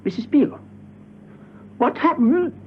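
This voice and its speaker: An actor playing a nervy, stammering agent close to panic in a vintage radio drama. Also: male voice, very high-pitched, lively intonation, takes it slowly, full character voice. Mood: alarmed. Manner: stammering, hesitant. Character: nervy, alarmed male agent, near panic. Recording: dull, hissy old radio recording.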